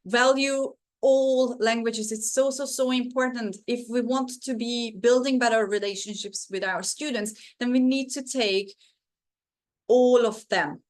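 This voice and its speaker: A woman's voice: fairly high pitch, fairly even intonation, a little slow. A woman whose first language is Hungarian, presenting a teaching webinar over a video call in an earnest, encouraging way with frequent fillers.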